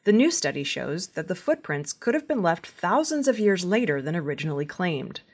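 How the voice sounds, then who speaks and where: A woman reading scripted news as a presenter, in a measured, formal newsreader manner. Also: fairly low pitch, breathy edge.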